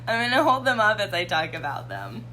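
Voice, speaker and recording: A slightly hoarse woman hosting a live tarot reading and chatting casually with her viewers. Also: medium pitch, wildly swooping pitch, fairly quick, soft background music. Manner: chatty, warm, greets viewers by name.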